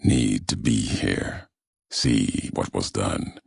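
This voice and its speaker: A grim, philosophical wanderer of a video game wasteland, with an extremely low voice full of heavy gravel. He delivers brooding, vengeful monologues very slowly, in terse and deliberate fragments that sound like quiet threats. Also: heavy reverb, full character voice. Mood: somber, bitter. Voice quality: gravelly, very deep, breathy. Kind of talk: slow, brooding dramatic monologue.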